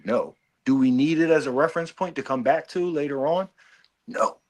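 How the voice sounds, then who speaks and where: A man's voice: a little high, normal speed. A man co-hosting a casual podcast, giving blunt, profane album reviews and mimicking voices. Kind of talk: casual music review chat.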